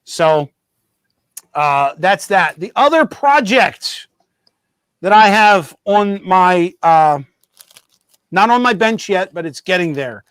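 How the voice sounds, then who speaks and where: High-voiced man rambling over his hobby bench. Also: animated, takes it slowly, pauses often, a bit bright, clean tone, dry recording. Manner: rambling, self-correcting, joking. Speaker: host of a model railroad show. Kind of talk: casual live-stream chat.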